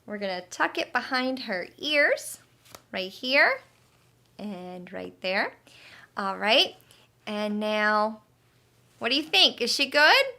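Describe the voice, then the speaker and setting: A woman with a bright, fairly high voice leads storytime for young children. She takes her time, explaining things simply and asking encouraging questions in an expressive teacher's manner. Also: slow, pauses often, slight background noise. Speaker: children's health educator and storyteller. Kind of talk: children's lesson and read-aloud storytime.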